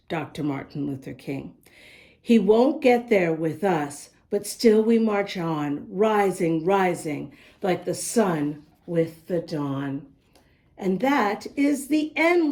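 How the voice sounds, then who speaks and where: A woman's voice: mid-range voice, warm tone. A woman reading a rhyming picture book aloud as host, speaking directly to young listeners. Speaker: children's book reader and host.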